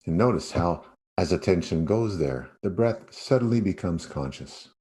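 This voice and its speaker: A man leading a guided meditation as a yoga nidra teacher, speaking in an unhurried way with spacious pauses. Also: low-pitched, lively intonation, warm tone.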